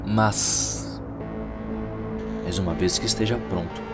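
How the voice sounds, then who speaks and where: A Brazilian man narrating a dramatic scripted audio story in a theatrical, deliberate way, with short emphatic phrases. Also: low-pitched, steady tone. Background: prominent music.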